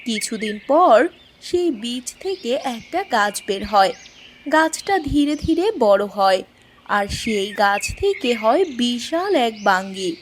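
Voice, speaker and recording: High, lively female children's storyteller. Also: slow, a little hiss or hum. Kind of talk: scripted children's story narration.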